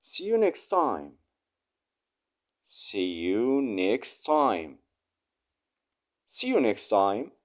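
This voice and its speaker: A man reading short English phrases aloud for learners, saying each one three times slowly and clearly. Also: on the low side, wildly swooping pitch.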